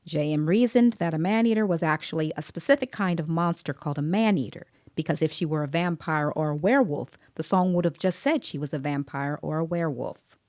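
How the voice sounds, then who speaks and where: An actress plays an observant woman who questions a child witness and narrates the story. Her voice is low and dark in tone, recorded dry and close, with restrained, precise delivery in an audio drama style. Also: fairly quick, hardly any pauses, no room sound, lightly acted. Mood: composed, observant. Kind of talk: scripted first-person dramatic narration.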